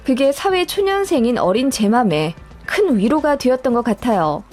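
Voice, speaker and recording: A woman's voice: a little high, a little mellow. A woman reading a scripted first-person personal story as a narrator, in a storytelling style. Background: background music.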